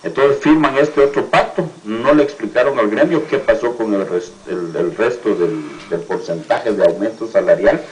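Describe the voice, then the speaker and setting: A man with a Guatemalan accent, giving political commentary as a union representative, often halting and repeating words. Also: fairly low pitch, rough recording. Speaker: teachers' union representative, interview guest.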